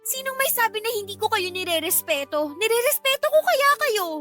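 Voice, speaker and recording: A woman's voice: extremely high pitch, animated, brisk, a bit bright, faint music behind. A woman acting an insolent, defensive young niece in a radio drama, sassy and argumentative, with a Filipino accent. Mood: defensive, indignant. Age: young adult.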